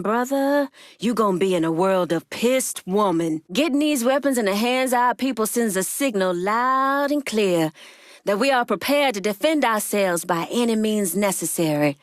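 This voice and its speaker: A woman's voice: mid-range voice, lively intonation, moderate pace, no room sound, clean studio sound, natural voice. An actress playing a proud, shrewd woman crime boss in a video game, speaking firmly and bluntly. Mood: angry, warning.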